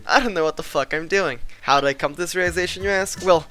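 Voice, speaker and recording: A young man narrating his gaming footage in a deadpan, mock-epic style, swearing casually. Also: high voice, fast talker, faint music behind. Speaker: gaming vlogger. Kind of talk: comedic scripted narration over gameplay.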